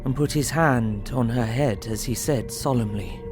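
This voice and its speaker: An actor playing a grave, troubled young husband, reading out his diary in a measured way over music. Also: medium pitch, lightly acted. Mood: pained, hushed. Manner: sombre, measured. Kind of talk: audio drama diary narration. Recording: music under the voice.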